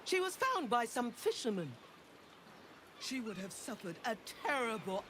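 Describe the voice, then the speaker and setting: A woman's voice: a little high, fairly bright tone, a little hiss or hum. A woman playing a stern, zealous priestess of a sea goddess in a fantasy game, preaching in a solemn, florid manner. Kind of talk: dramatic fantasy game acting.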